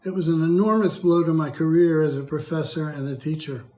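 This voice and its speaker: An older man with a hoarse, dry voice, reading prepared testimony as a patient in a measured, careful way. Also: a little high, fairly even intonation, darkish tone, echoey room. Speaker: patient speaker. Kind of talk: prepared testimony read aloud.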